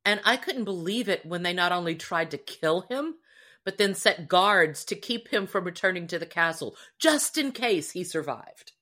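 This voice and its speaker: Female co-host, slightly low register, earnest and expressive, casual story discussion. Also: moderate pace. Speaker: podcast co-host.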